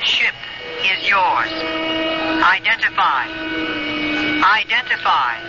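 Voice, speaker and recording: Terse alien controller, dull radio sound. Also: female voice, mid-range voice, very animated, slow pace, heavy background noise. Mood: stern, inquiring. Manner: clipped, commanding questioning. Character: female alien traffic controller, terse, commanding, literal-minded. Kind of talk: 1970s radio drama acting. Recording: dull vintage radio broadcast, music underneath.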